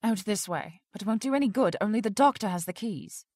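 A woman's voice: mid-range voice, expressive, fairly quick, a little mellow, dry recording, studio quality, natural voice. An actress in an audio drama playing an indignant, strong-willed woman who works at a research facility. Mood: brisk.

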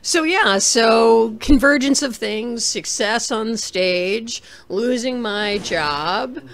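A woman in her forties from New England, a guest telling her story in a casual interview, animated and reflective, on a desk mic at home over a video call. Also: on the high side, slow pace. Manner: animated, reflective, rises for emphasis. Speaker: interview guest, documentary director. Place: small home room. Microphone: desk microphone on video call.

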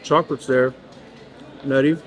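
A man working as an usher, giving a slow, deliberate review of a cookie. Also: mid-range voice, fairly even intonation. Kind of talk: casual food review.

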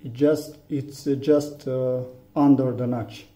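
Slow, halting Romanian-accented man, deep mellow voice. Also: fairly even intonation. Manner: halting, many fillers, plain instructions. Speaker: tech tutorial maker. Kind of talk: hands-on phone tutorial. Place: room indoors, phone on a table. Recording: echoey, dull lo-fi room.

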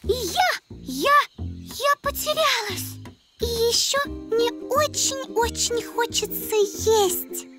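A woman's voice: extremely high pitch, animated, slow, exaggerated voice. A woman playing a cute, naive young female animal cub in a children's cartoon. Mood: plaintive. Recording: loud music behind the voice.

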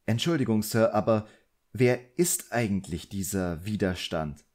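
A man's voice: lowish voice, unhurried, darkish tone. A man voicing a timid, polite young sorcerer's apprentice in an audiobook, hesitant and deferential.

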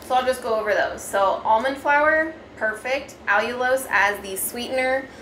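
A woman's voice: on the high side, moderate pace, fairly bright tone, a little hiss or hum, low-quality recording. A young adult woman casually reviewing keto snacks at her dining table, chatty and explaining step by step. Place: dining room at home, indoors.

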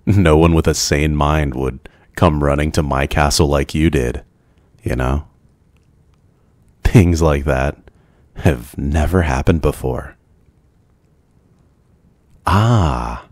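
Man playing a very deep, brooding vampire. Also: slow, pauses often, no room sound. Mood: brooding, intrigued. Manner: formal, hesitant, softly courteous. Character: brooding, courteous, lonely old immortal male vampire. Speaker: ASMR roleplay voice actor. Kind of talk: slow, intimate ASMR roleplay acting.

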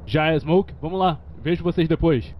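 A man's voice: fairly high pitch, normal speed, dull audio, natural voice. A Brazilian man voicing a young, straight-talking street gangster in a crime game fan dub, casual and slangy. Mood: agreeable. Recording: noisy game audio with car sounds.

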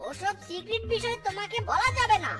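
A man's voice: extremely high pitch, a little fast, over loud music. A man voicing a small, cunning, boastful male fox in a cartoon, cocky and crafty. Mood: smug, crafty. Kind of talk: exaggerated cartoon voice acting.

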